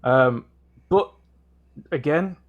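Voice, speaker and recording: A man's voice: a little high, very slow, narrow, tinny audio. Man, geordie accent, casual podcast talk, earnest, lots of ums and restarts. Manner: earnest, steady argument with frequent ums and restarts. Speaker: podcast co-host. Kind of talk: casual gaming podcast discussion.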